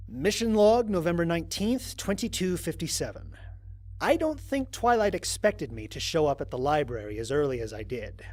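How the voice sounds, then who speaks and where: A man's voice: on the high side, moderate pace. A man playing a lone astronaut who narrates his mission logs in a wry, exasperated, conversational way, as in an audio drama. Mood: exasperated, amused. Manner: conversational, expressive, sardonic. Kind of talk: dramatized first-person log narration.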